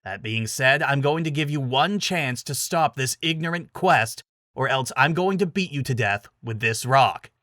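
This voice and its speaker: A man voices a grumpy robed wizard from a fantasy tale. He talks with dry sarcasm, at a middling pitch. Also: animated, moderate pace, bright, forceful tone, no room sound. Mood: threatening. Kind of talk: dramatic fantasy story reading.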